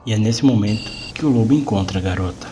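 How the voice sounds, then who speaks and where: A man's voice: lowish voice, quick pace, over music. A man with a Brazilian accent narrating a scripted horror story.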